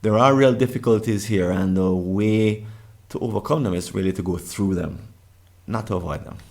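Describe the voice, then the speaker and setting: A man's voice: fairly low pitch, moderate pace, a little mellow. A middle-aged man with a Jamaican accent, earnestly presenting a summit session and often restarting his phrases. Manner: earnest, with frequent restarts and repeated words.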